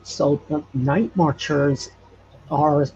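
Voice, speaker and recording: A man from Hawaii speaking as an interview guest, explaining local legends haltingly as he searches for words. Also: on the high side, steady tone, gravelly, darkish tone. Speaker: podcast guest. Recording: dull, noisy audio.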